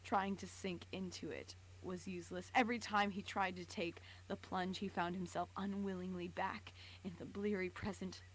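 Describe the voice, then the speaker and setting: A woman with a lowish voice reads fan fiction on a stream. Her narration is dramatic, broken by stumbles and casual asides, with clear noise in the background. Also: flat delivery, moderate pace, hardly any pauses, low-quality recording. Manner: stumbles over words and rereads. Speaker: live stream reader.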